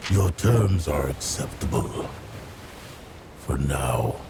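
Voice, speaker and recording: Gravelly, extremely deep undead killer. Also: male voice, animated, exaggerated voice. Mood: cold, curt. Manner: slow, terse, ominous. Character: menacing undead male killer from the deep sea. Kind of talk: video game character acting. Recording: loud background noise and ambience.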